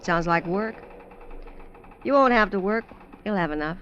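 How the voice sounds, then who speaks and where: A woman's voice: mid-range voice, lively intonation, normal speed, a bit bright, slight background noise, lightly acted. An actress in a 1950s radio drama, playing and narrating as a wry, streetwise, colloquial showgirl castaway. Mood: wistful, probing. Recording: dull vintage radio recording.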